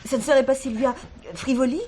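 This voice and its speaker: A woman's voice: sits high, steady tone, a little fast, mellow, slight background noise, natural voice. An actress playing a naive, coquettish young dancing girl with artless, colloquial speech in a stage comedy. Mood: curious, friendly. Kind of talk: French stage comedy acting.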